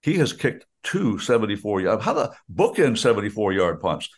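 An older man with an American accent and a somewhat low voice chats casually as a sports radio announcer. He rambles, restarting sentences and drifting into asides. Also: very animated, normal speed, slightly rough.

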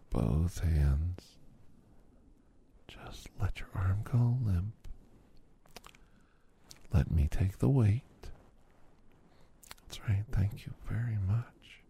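Low gravelly whispering man. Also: slight background noise. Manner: soft, soothing, reassuring whisper addressed to listener. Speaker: ASMR roleplay massage therapist. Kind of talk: whispered ASMR roleplay.

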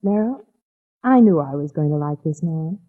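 A woman's voice: sits low, lively intonation, normal speed. An actress playing a bold, sardonic young woman in a 1940s radio drama, direct and taunting. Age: young adult. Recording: tinny, narrow 1940s radio recording.

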